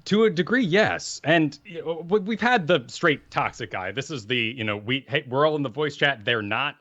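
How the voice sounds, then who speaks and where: Deep-voiced, forceful podcast co-host. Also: male voice, moderate pace, no echo, muffled recording. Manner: energetic, assertive, lecturing. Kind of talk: casual gaming podcast talk. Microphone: studio microphone.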